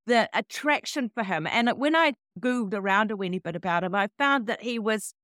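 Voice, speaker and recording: A woman's voice: mid-range voice, lively intonation, a little fast, fairly bright tone, dry recording, studio quality. A woman hosting a book podcast, moving between interview questions and scripted announcements. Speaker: podcast host.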